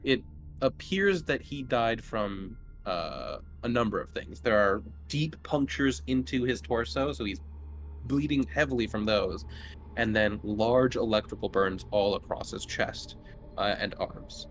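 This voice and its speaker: A man's voice: fairly low pitch, lively intonation, unhurried. Male game master over noticeable background noise. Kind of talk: narrating a tabletop horror roleplaying game.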